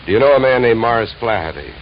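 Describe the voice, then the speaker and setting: An actor playing a wry, deadpan insurance investigator in a 1950s radio detective drama, brisk and wisecracking. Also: male voice, mid-range voice, slight background noise, close to an everyday voice. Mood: dry, questioning. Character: wry, hard-boiled male insurance investigator, narrating his case. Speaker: lead actor and narrator. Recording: dull vintage radio recording, music behind.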